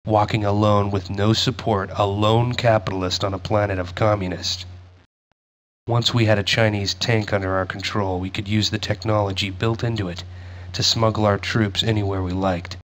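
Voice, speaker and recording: Low-voiced, solemn veteran soldier played by a man. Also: lightly acted. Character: grim veteran soldier recounting a war. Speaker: narrator. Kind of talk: scripted war-memoir narration.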